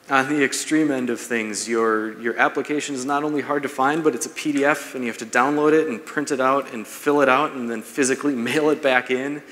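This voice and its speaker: A man's voice rings through a large, reverberant room. He speaks as a seminar presenter, at a middling pitch. His delivery is conversational and explanatory, laying out practical points for listeners. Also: fairly bright tone. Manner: conversational, explanatory, checks in with "right?". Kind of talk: business seminar talk to an audience. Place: large brick-walled event room, indoors. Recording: echoey hall, long reverb.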